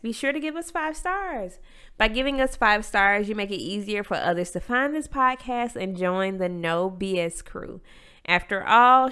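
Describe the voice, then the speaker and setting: A woman's voice: medium pitch, pushed and bright, clean tone. A young adult woman with a stuffy, congested nose, hosting her own podcast in a candid, emphatic, motivational monologue. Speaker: podcast host.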